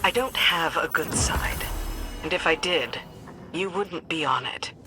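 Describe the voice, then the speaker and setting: A woman's voice: low voice, measured pace, music in the background. Clipped, tough woman commander. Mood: dry. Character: tough, curt, dry-witted female military commander. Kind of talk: video game character dialogue.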